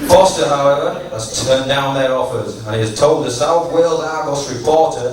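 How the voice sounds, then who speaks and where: A man giving a humorous after-dinner tribute speech to an audience, in a teasing, deliberate storytelling manner. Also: mid-range voice. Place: large function room at a dinner, distant microphone. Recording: echoey noisy hall, from far off.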